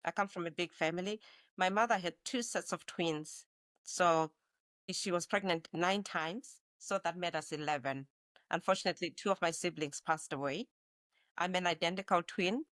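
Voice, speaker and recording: A woman's voice: fairly low pitch, moderate pace, a bit bright, no echo. A woman in her forties from Zimbabwe, a nurse practitioner speaking reflectively as an interview guest. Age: 40s. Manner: reflective, with frequent restarts. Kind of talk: conversational interview.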